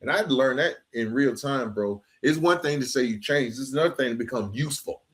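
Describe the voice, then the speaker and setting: A man's voice: medium pitch, fast talker. A man hosting a podcast interview, speaking casually and reflectively, affirming his guest and punctuating his points with slang. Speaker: podcast host. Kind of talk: conversational interview with reflective commentary.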